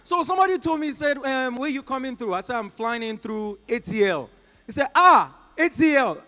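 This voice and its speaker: A Nigerian man with a high voice performs stand-up on stage. He tells a story and slips into short put-on voices for each person in it, with loud, punchy exclamations. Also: expressive. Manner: acts out callers and agents in quick put-on voices, loud exclamations. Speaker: stand-up comedian. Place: comedy club stage.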